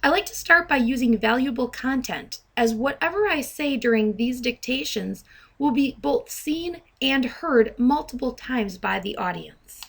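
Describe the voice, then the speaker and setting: A woman with a fairly high voice works as a software trainer, narrating a demonstration. She speaks in a deliberate, instructional way and pronounces each dictated command distinctly. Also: expressive, vocal fry, slightly rough. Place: voice-over to a screen recording.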